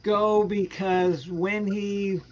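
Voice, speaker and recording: A man hosting a casual music quiz show, teasing the players with quick playful asides. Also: high-pitched, level tone, muffled recording. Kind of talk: casual live-stream banter.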